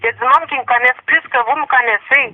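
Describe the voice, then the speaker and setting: A woman's voice: sits high, rapid-fire. A woman with a Quebec French accent on the telephone, defensively arguing and repeating herself. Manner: defensive, repetitive. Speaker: person receiving a confrontational call. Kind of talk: argumentative phone conversation. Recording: dull, lo-fi telephone line.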